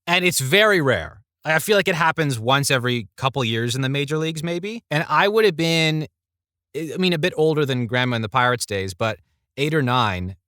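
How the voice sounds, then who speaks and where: Bright-voiced man telling casual stories. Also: fairly high pitch, expressive, moderate pace, smooth, no room sound, studio quality. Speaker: podcast guest, voice actor. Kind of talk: casual conversational storytelling.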